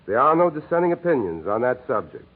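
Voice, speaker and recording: An American man giving an earnest, scripted oratorical radio address as a war correspondent, speaking in measured, emphatic phrases. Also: medium pitch, sing-song. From United States. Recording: muffled, narrow-band 1940s radio broadcast.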